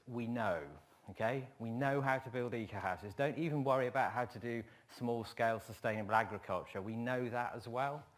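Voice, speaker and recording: A man who works as a planner giving a conference talk, wry and emphatic, repeating his points. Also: mid-range voice.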